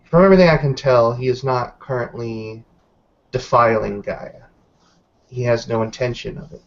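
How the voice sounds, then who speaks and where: A man playing a suspicious werewolf investigator in an improvised roleplaying game, choosing his words deliberately and often correcting himself. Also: mid-range voice, vocal fry, lightly acted. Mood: measured, explanatory. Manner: deliberate, hesitant, self-correcting. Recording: dull lo-fi voice recording.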